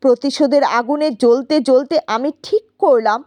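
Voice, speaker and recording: High-pitched woman narrating a personal story. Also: normal speed, dark tone, no echo.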